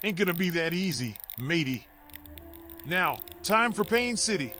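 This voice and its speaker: A taunting street thug in a noir video game, with a fairly high voice. He is a menacing bully, mocking and confrontational. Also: very animated, measured pace, bright, forceful tone, soft background music, full character voice. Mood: angry threat.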